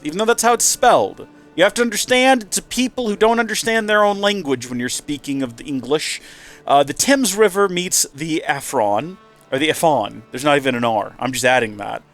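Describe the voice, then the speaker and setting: A man's voice: fairly high pitch, fairly bright tone. A man hosting a let's play with casual, rambling commentary, his nose stuffy and a little nasal. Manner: chatty, rambling asides.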